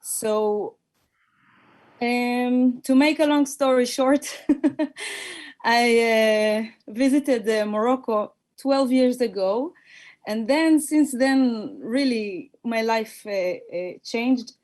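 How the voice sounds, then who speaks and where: Slow-speaking Israeli woman musician. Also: a little high, darkish tone. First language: Hebrew. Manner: thoughtful, hesitant, many fillers and restarts. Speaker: guest musician. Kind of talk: conversational self-introduction.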